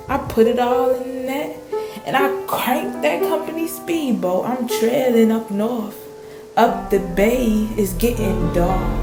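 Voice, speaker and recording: A woman playing a dramatic, awestruck storm survivor, a fisher, in a radio play with drawn-out, emphatic delivery. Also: mid-range voice, gravelly. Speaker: voice actor. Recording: echoey, with music underneath.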